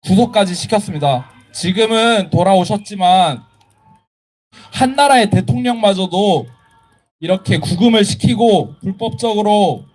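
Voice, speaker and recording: A man in his twenties giving a solemn, deliberate political rally speech in short, separated phrases. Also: high-pitched, expressive, darkish tone. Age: 20s. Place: outdoors at a street protest.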